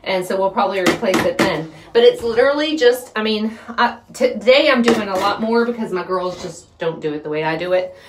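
A middle-aged woman talks casually to her viewers as she works. Her delivery is folksy and chatty, with a medium pitch. The mic sits at a distance in a small, roomy-sounding wooden space, with clear noise behind her. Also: fairly quick. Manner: folksy, chatty, rambling while working. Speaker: homestead vlogger and host. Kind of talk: casual chat to viewers during chores. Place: small wooden chicken coop. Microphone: phone set up a few feet away.

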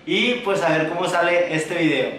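A man's voice: on the high side, steady tone, moderate pace. A young man with a Mexican accent, chatting casually and slangy before a prank call. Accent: Mexican Spanish. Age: young adult. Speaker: prank video host. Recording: echoey room, far from mic, noisy.

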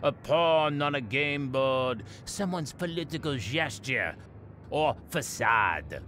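Man playing a slow, haughty military commander. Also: mid-range voice, expressive, pushed and bright, slight background noise. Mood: scornful. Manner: disdainful, weary, deliberate. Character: bored, haughty military commander, mid-fifties, humanoid alien. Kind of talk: science-fiction audio drama acting. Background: music.

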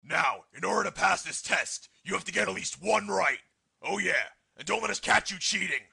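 A man playing a menacing, sarcastic exam proctor in a comic anime parody, with a raspy, barking, theatrical delivery. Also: high voice, moderate pace, sharp tone, heavily acted. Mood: gloating, menacing. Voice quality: gravelly, raspy. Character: menacing scarred male exam proctor, loud drill-sergeant bully.

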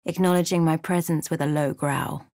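A woman voicing a solemn adventurer who narrates a fantasy game journey in a measured storytelling manner. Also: sits low, fairly even intonation, darkish tone, dry recording, clean studio sound.

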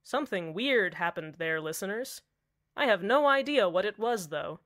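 A woman's voice: mid-range voice, expressive, moderate pace, a bit bright, lightly acted. A woman playing a lonely radio host in an audio drama who insists that everything is fine. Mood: eerily calm. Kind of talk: scripted fan audio drama, radio monologue.

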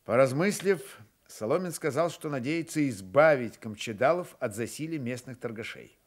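A man's voice: mid-range voice, animated, normal speed. A man reading scripted literary narration for a radio drama.

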